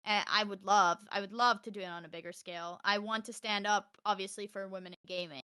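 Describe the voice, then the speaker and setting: A young woman with a high, loud voice chatting casually as a podcast guest about her gaming life, outspoken and emphatic, swearing freely. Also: steady tone, fast talker, clean tone. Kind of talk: casual chat, animated anecdotes.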